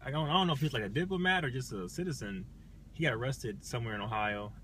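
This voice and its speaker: A man's voice: medium pitch, animated, quick pace, a bit bright, a little hiss or hum. A man chatting casually as a livestream guest, telling anecdotes and giving opinions in an informal, rephrasing way.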